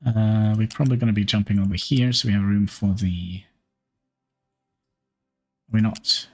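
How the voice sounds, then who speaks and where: A man's voice: lowish voice, fairly even intonation, faint music behind. Man thinking aloud over gameplay. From Norway. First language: Norwegian. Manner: thinking aloud, halting with fillers. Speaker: streamer. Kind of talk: live gameplay commentary.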